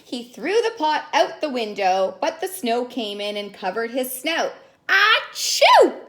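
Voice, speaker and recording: High, animated, slow-paced woman storyteller. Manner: sing-song, expressive, prompting listeners with questions and counting. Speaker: children's story reader and caregiver. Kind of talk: read-aloud storytelling for young children.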